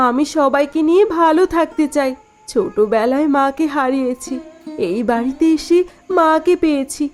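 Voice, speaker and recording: A woman voicing a gentle, dutiful young bride in a cartoon, speaking in an earnest, patient way. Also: high-pitched. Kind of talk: cartoon voice acting.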